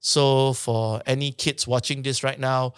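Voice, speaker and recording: A man, a podcast guest, chatting in a lively way and often laughing between his stories. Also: medium pitch, smooth, no echo, clean studio sound. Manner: animated, quick, laughing often, repeats "yeah". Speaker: podcast guest, trainer and speaker. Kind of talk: casual storytelling chat.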